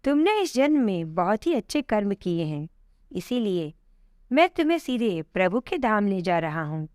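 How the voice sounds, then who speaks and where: A woman voicing a calm, benevolent male god of death in a solemn, instructive storybook manner. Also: mid-range voice, moderate pace, no room sound, clean studio sound, lightly acted. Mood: calm, granting.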